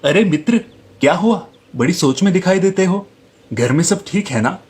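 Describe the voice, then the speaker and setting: A man voicing a frank, opinionated village barber, a familiar friend, in an animated storybook tale. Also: high-pitched, normal speed, voice pushed up. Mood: curious.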